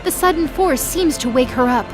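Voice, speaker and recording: Music runs clearly behind a woman narrator with a fairly high voice. She delivers scripted, dramatic narration for an audio drama. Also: moderate pace.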